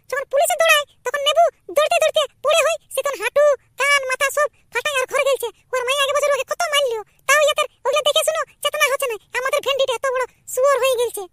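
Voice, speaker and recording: A scolding village mother from a cartoon acted by a man bosses everyone in a Purulia Bengali accent. The pitched-up voice is extremely high and sharp, recorded very dry. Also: no room sound. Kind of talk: amateur cartoon comedy dialogue.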